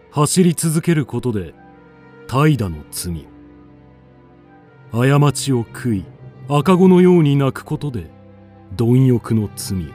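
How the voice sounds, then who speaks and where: An actor playing a grave poet and wise mentor, solemn and measured, in a radio drama. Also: male voice, mid-range voice, dark tone.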